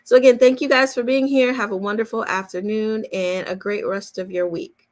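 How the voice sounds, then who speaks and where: A woman speaking informally and directly to a group as a coach hosting an online chat, with a creaky voice. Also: mid-range voice, steady tone, normal speed, a little mellow. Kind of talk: casual live chat with attendees.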